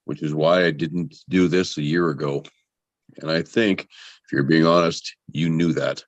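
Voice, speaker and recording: A man's voice: very deep voice, fairly even intonation, measured pace, dry recording, lo-fi sound. A man acting a firm, composed, impatient man in a drama script read. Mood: cool, firm. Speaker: voice actor in a table read.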